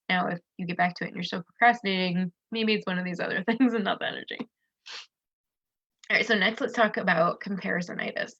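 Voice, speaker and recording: A woman's voice: medium pitch, pushed and bright, highs cut off. A woman giving casual business advice on a live stream, stuffed up and losing her voice, chatty and full of restarts. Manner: chatty, loose, with many restarts and fillers. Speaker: business coach. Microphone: streaming on a social video platform.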